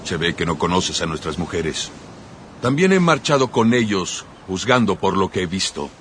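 An actor playing a proud, sardonic Spartan warrior king in a dubbed epic film, speaking in a measured, dryly defiant way. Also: sits low, very animated, a bit bright. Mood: proud defiance. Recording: noisy film soundtrack clip.

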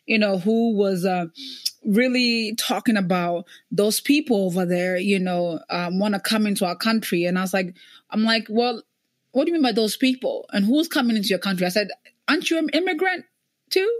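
A woman's voice: mid-range voice, normal speed, no echo. Woman host, dry close sound. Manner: reflective, punctuates points with "right?". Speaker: podcast host. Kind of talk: conversational interview, personal anecdote.

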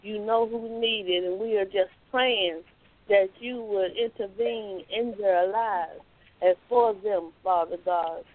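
A woman caller praying aloud earnestly, with steady repeated address to God. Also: medium pitch, slow pace. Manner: earnest, steady prayer phrasing. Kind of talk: spoken prayer. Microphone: phone call. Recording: tinny phone line.

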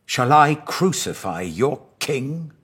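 A man's voice: mid-range voice, big pitch swings, slow pace, a bit bright, slightly rough, pitched above the performer's own voice, heavily acted. A man voicing a commanding but uneasy Roman governor in a dramatised scripture audiobook, emphatic and questioning. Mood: challenging.

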